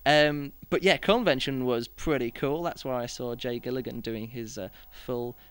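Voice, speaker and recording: A man with a clean, smooth voice talks casually as a podcast host. He rambles and hesitates, often starting his sentences over. The sound is dry, with very little room to it. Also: medium pitch, normal speed, fairly bright tone, no echo. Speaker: podcast host and juggling performer. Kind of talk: casual podcast chat, reviewing his year.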